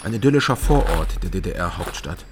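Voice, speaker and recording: A low-voiced, laconic East German night porter. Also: male voice, lively intonation, moderate pace, noisy background. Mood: dry, reflective. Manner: laconic, terse, understated. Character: taciturn East German night porter, ex-criminology student, wry. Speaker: first-person narrator. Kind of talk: noir-like radio drama narration and dialogue. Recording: music behind the voice.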